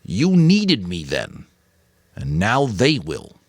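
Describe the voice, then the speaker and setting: A man's voice: on the low side, huge pitch swings, dry recording, heavily acted. A man voicing a weary but firm old mentor, grave, caring and earnest, in a dramatic audiobook reading. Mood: urgent, earnest. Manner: earnest, emphatic, deliberate.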